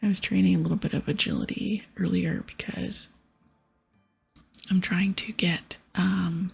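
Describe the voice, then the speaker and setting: A woman host whispering softly and breathily as she gives gentle, chatty ASMR commentary on a video game. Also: on the low side, fairly even intonation, unhurried, gravelly, breathy edge.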